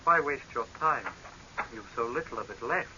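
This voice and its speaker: An actor playing a cold, sardonic young saboteur in 1930s radio horror drama style, speaking in a measured, menacing way. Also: male voice, medium pitch, lively intonation, close to an everyday voice. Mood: mocking, cold. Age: young adult. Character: cold, mocking young male saboteur, calmly vengeful. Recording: hissy, dull 1930s radio recording.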